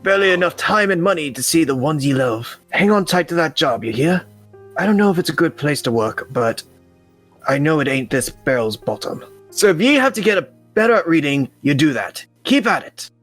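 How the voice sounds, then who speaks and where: A man's voice: on the high side, very animated, brisk, a bit bright, faint music behind. A man voicing a kindly older dock worker in a visual novel, with folksy, encouraging talk in rustic dialect. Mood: gentle, rueful. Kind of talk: visual novel character voice reading.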